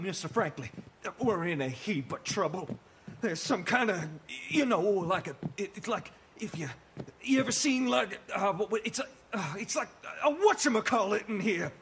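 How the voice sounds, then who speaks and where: A man voicing an anxious, folksy puppet mailman in a cartoon, easily flustered, with a husky voice and stammering manner. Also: high voice, animated, moderate pace, a bit bright, a little hiss or hum, full character voice. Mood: panicked. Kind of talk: cartoon character voice acting.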